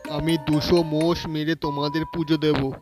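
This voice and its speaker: A man's voice: on the high side, flat delivery, normal speed, close to an everyday voice. A man playing a gullible, easily frightened king in an audio story. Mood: terrified pleading. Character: gullible, fearful male king. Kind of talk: audio story character acting. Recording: loud music over a quieter voice.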